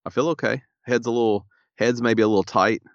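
A man, co-hosting a podcast in casual chat, a little stuffy and raspy, laid-back with dry humor. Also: medium pitch, steady tone, fairly quick, dry recording, highs cut off. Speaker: podcast co-host.

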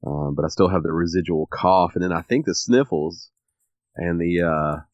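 A man co-hosting a podcast, sniffly and a little raspy from allergies, rambling with many ums. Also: deep voice, moderate pace, darkish tone, no echo, muffled recording. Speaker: podcast co-host. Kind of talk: casual chat.

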